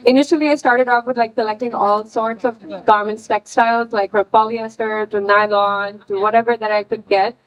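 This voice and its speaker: A woman's voice: on the high side, low-quality recording. A woman designer speaking on a panel in a casual, thoughtful way, with many fillers. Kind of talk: panel talk.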